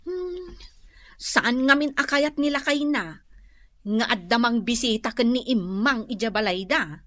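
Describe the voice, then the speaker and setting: High, teasing village gossip. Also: female voice, lively intonation, measured pace, fairly bright tone, no room sound. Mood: gossipy. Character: teasing, chatty village woman who loves gossip. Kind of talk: comedy radio drama acting.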